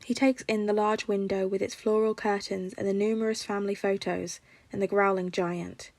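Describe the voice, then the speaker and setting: A woman's voice: medium pitch, steady tone, moderate pace, darkish tone, no room sound. A woman reading a scripted story aloud as a fiction narrator. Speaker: podfic narrator.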